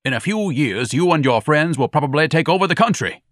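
Brief: male narrator voicing a pompous blowhard, lively swooping delivery, dry close sound. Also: on the high side, brisk, no room sound, pitched above the performer's own voice. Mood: haughty. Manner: bombastic, grandiloquent, scolding. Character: pompous huge eccentric man, lofty and indignant.

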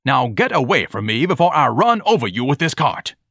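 A man's voice: mid-range voice, sing-song, fairly quick, fairly bright tone, no room sound, clean studio sound, pitched above the performer's own voice. A man voicing a pompous, easily outraged hot dog vendor with haughty, ornate diction, in an audiobook story. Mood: panicked shrieking.

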